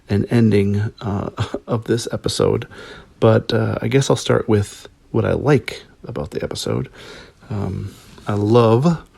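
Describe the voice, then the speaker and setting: Minnesota guy doing a casual review, super fried gravelly voice, hesitant and choppy, dry close sound. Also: lowish voice, dark tone, no echo. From Minnesota, United States. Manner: halting, hesitant, with long broken phrases. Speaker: listener sending in episode feedback. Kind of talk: casual spoken review of a TV episode.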